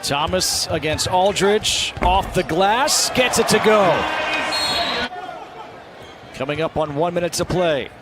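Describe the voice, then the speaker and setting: A man's voice: high voice, slow, long pauses. A man calling live basketball play-by-play in short, clipped phrases that rise on big plays. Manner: clipped running calls, rising on big plays. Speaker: play-by-play announcer. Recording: arena crowd and court noise behind commentary. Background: busy basketball arena, bouncing ball.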